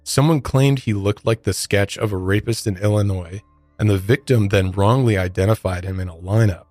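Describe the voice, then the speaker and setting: A man's voice: lowish voice, normal speed, darkish tone, no echo, faint music behind. A man co-hosting a podcast, moving between conversational commentary and prepared true-crime facts. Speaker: podcast co-host.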